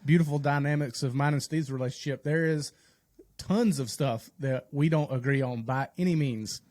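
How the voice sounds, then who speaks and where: A man from the United States in a casual podcast chat, thoughtful and halting, often repeating his phrases. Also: mid-range voice, darkish tone, dry recording, clean studio sound. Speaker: podcast host appearing as guest.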